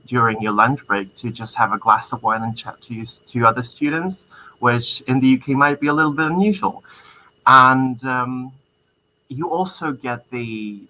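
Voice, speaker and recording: Czech man, bright voice, hesitant. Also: medium pitch, a little hiss or hum, rough recording. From Czech Republic. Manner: hesitant, many fillers, earnest. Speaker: student guest. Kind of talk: casual interview answers.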